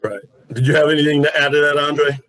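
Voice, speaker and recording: A middle-aged man with a husky voice, hosting an interview in an informal, self-deprecating, conversational way. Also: fairly high pitch, steady tone, fast, fairly bright tone, dry recording. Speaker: interview host.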